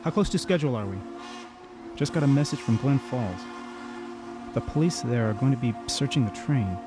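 A man's voice: mid-range voice, expressive, moderate pace, music in the background, close to an everyday voice. An actor playing a businesslike male train crewman in an audio drama. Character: businesslike train crewman passing on a police message.

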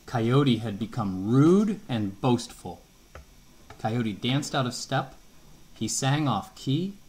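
Guy reading a kids book out loud in the kitchen, slow, really lively up and down. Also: medium pitch. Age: middle-aged. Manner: unhurried, expressive, asks questions as he reads. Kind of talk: storybook read-aloud to a young child. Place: home kitchen.

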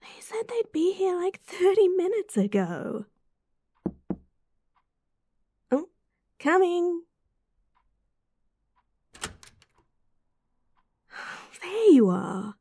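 A woman with an Australian accent playing a caring, teasing young student best friend in a roleplay audio, soft and breathy. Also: extremely high pitch, sing-song, takes it slowly, no room sound, full character voice. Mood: worried, relieved. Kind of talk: roleplay audio acting, intimate and softly spoken.

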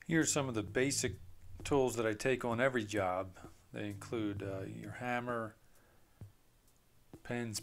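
A man, a plumbing contractor, walks through his tools off camera, talking plainly and unhurriedly with many "uh" fillers. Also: lowish voice. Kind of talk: off-camera tool walkthrough.

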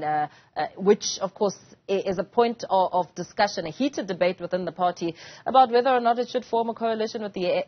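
A young news anchor speaks in a mid-pitched voice, framing long questions with frequent ums and pauses. Her sound is slightly dull, from a television studio. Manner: hesitant, filler-heavy questioning.

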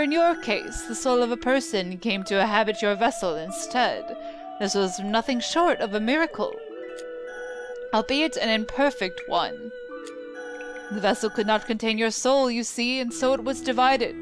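A woman's voice: fairly high pitch, close to an everyday voice. A woman playing a proud, obsessive dead homunculus researcher in a video game, with a formal, theatrical, lecturing manner. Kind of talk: scripted video game voice acting. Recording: music behind the voice.

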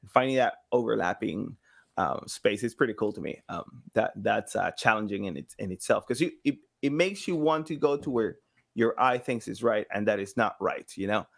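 A man in his thirties from South America, telling stories casually as an interview guest, acting out quotes and pausing to find words. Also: fairly low pitch, lively intonation, vocal fry. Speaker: podcast guest, sound designer. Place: small home room, video call. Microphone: boom-arm microphone with headset.

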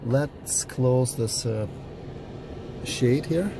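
A Russian-accented man in his forties talks enthusiastically through a car's features, stopping often for fillers and restarts. His voice sits at an ordinary middle pitch and is picked up by a phone held at arm's length inside a parked car. A steady air-conditioning hum is clearly heard behind him. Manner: enthusiastic, halting with many fillers. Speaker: car reviewer. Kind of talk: casual car walkaround vlog. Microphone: phone camera at arm's length.

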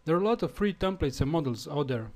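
A man from Slovenia with a Slovenian accent, speaking English as a second language in a halting screencast tutorial with fillers and restarts. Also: fairly high pitch, lively intonation, darkish tone. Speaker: web developer.

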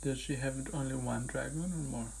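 A man facilitating a hypnotic healing session, giving gentle instructions and asking questions. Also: mid-range voice, moderate pace, vocal fry, a little hiss or hum.

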